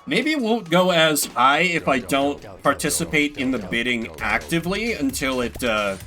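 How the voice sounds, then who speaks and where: Guy streaming a game, bright voice swinging all over, sarcastic, music behind him. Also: fairly high pitch, moderate pace. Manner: animated, profane, sarcastic. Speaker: streamer playing and voicing a game solo. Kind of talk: casual gameplay commentary.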